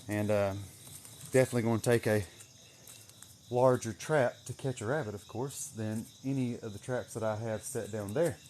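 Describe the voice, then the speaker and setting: A man's voice: fairly low pitch, steady tone, normal speed, darkish tone, slight background noise. A man chatting casually on an outdoor livestream as he gives a garden tour, with a folksy, rambling manner and some mild swearing. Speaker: livestream host showing his greenhouse garden.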